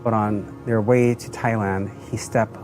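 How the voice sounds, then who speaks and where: Guy with a lowish voice narrating his own story, earnest and plain, music under it. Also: fairly even intonation, a little slow, a little mellow. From Southeast Asia and the United States. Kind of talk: personal testimonial narration.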